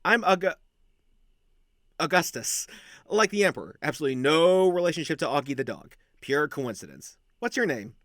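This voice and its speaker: A man's voice: on the high side, expressive, normal speed, fairly bright tone, no echo, studio quality. A man playing an awkward, chatty young werewolf in a casual audio roleplay, rambling and blurting like a cheeky little brother. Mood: awkward, eager.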